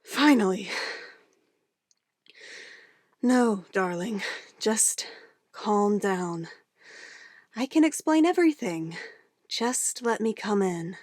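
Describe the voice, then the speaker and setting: A woman's voice: mid-range voice, lively intonation, slow pace, pauses often, darkish tone, dry recording, clean studio sound. A woman playing an obsessive, controlling witch in an ASMR roleplay, a sweetly menacing lover who moves between coaxing endearments and firm commands. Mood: insistent, triumphant.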